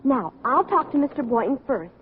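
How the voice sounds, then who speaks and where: A meddling, earnest teenage schoolgirl in an old radio sitcom. Her voice is high and animated, chatty and frank to a fault. The recording is thin vintage radio with some hiss. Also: a little fast, phone-quality sound. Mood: bossy, scheming. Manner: gushing, earnest, tactlessly frank. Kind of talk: 1940s-50s radio sitcom acting.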